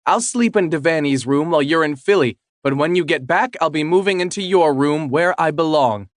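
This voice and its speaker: A man's voice: a little high, moderate pace, dry recording, studio quality, voice pushed up, natural voice. A man voicing a distraught estranged wife who is tearful, clingy and cutting. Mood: pleading, possessive.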